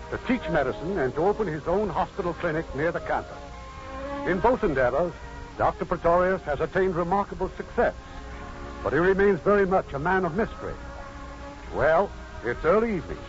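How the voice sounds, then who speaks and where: A man hosting a 1950s radio theater, reading scripted introductions and narration in a formal, declamatory showman's manner. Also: on the high side. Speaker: producer and host introducing a radio play. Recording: muffled 1950s radio broadcast recording.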